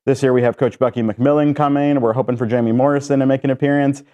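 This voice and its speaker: A man's voice: medium pitch, steady tone, fast, mellow, lo-fi sound. A man, a guest on a sports radio show, upbeat and promotional about a fundraiser. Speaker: guest, alumni club president-elect.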